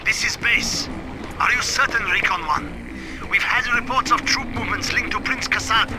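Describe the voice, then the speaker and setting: A man's voice: very high-pitched, normal speed. An actor playing a wary male militant radio operator calling a scout from base in a video game. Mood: doubtful, wary. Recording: loud music and vehicle noise underneath.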